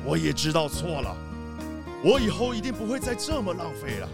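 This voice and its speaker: A man's voice: on the high side, sing-song, fairly quick, creaky, over loud music. A man playing a blustering leopard boss and father in a children's radio play, with an emphatic, dramatic delivery. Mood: remorseful.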